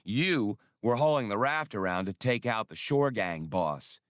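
A man's voice: lowish voice, animated, unhurried, a bit bright, no room sound, clean studio sound. A man playing a cocky, wary rogue in a space-adventure audiobook, with a smug, casual, slangy manner. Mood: teasing.

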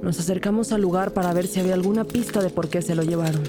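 A woman's voice: lowish voice, fairly even intonation, fast, over music, close to an everyday voice. A woman playing a skeptical young police detective who narrates her investigation in an audio drama. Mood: focused, serious. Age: young adult. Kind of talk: audio drama dialogue and first-person narration.

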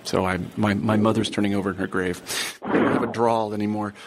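A man's voice: on the low side, level tone, fast talker, noisy background. A middle-aged man from the American Midwest hosting a radio book interview, genial and hesitant, with frequent fillers. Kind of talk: book interview, read intro then chat.

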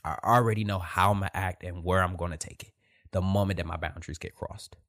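A soft-voiced man giving a blunt, emphatic motivational talk, repeating his points. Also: low voice, steady tone, a little fast. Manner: emphatic, repetitive, direct with profanity.

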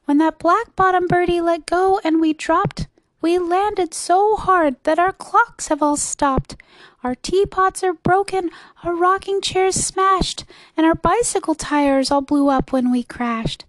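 A woman's voice: very high-pitched, a little slow, no echo, voice pushed up. A woman voicing a scared, tiny male mayor of a miniature people, plaintive and pleading, in storybook style. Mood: plaintive, shaken. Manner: plaintive, pleading, calling from afar. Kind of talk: storybook character voice.

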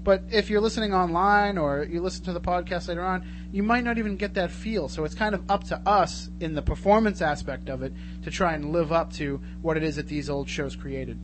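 A man with a slightly high voice hosts a radio interview in a casual, conversational way. Clear background noise and hum sit behind his voice throughout. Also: fairly even intonation, fairly quick, hardly any pauses. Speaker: radio show host. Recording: noisy radio broadcast with hum.

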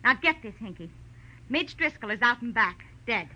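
A woman playing a cold, commanding showgirl in a 1940s American radio drama, sharp and sarcastic, with an American accent. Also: fairly high pitch, lively intonation, moderate pace, heavily acted, rough recording. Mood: sarcastic, brisk. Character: bossy, brassy young female showgirl, cold and dangerous.

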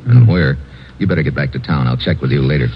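An actor playing a tough, wisecracking investigator in an old-time radio drama, clipped and sardonic. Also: male voice, a bass voice, animated, quick pace, heavy background noise. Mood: brisk, intrigued. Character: tough, wisecracking male investigator narrating his case. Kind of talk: hard-boiled old-time radio narration and acting. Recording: dull old radio recording.

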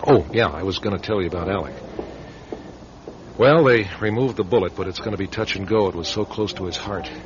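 A man's voice: low-pitched, a little fast, natural voice. A man playing a wry, sardonic middle-aged radio station manager in a conversational radio drama style. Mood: wry, somber. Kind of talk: radio drama narration and dialogue. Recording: dull, hissy old radio recording.

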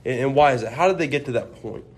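A man's voice: medium pitch, fast. A man co-hosting a podcast, talking through scripture in an earnest, thoughtful way, often restarting his sentences. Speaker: podcast co-host. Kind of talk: casual religious discussion.

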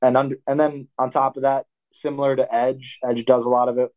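A man's voice: mid-range voice, fairly quick. A young man, a wrestling coach, talking casually in an interview, often restarting and correcting himself, and livening up when he acts out a practice drill. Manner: rambling, self-correcting, animated when acting out drills. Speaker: wrestling coach and athlete, guest.

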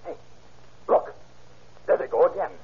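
An actor playing a slangy, rough-talking man in an old-time radio crime drama, heard on a tinny vintage recording. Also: unhurried, natural voice. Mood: insistent, wary. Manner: slangy, folksy tough-guy speech.

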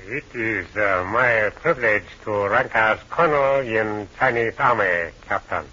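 Polite Chinese colonel, husky yet crisp-edged and bright, careful formal phrasing, muffled vintage radio sound. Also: male voice, lowish voice, a little slow. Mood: politely firm. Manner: formal, hesitant, courteous phrasing with frequent uh. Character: polite, dignified, measured Chinese army colonel. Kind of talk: 1940s radio adventure acting. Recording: muffled old radio recording.

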